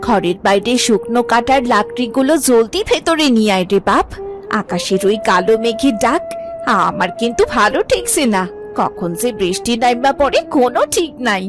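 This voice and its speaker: An anxious, loving mother from a village story , played by a woman, with a rural Bangladeshi accent. Her fretful, pleading voice sits on the high side and moves up and down a great deal. Music plays clearly behind her. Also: sing-song, normal speed. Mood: worried, tender. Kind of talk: dramatised Bengali story acting.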